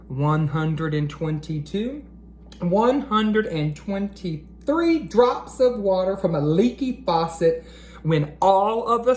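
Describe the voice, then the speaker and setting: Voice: medium pitch, a little mellow, slight background noise. A read-aloud host narrating storybooks and talking to children in an encouraging, teacherly way.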